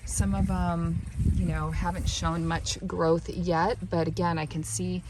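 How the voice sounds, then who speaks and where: A hoarse woman who has partly lost her voice, chatting loosely in a garden vlog with lots of fillers. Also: fairly low pitch, flat delivery, unhurried, darkish tone, slight background noise, low-quality recording. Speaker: gardening vlogger. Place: backyard garden, outdoors.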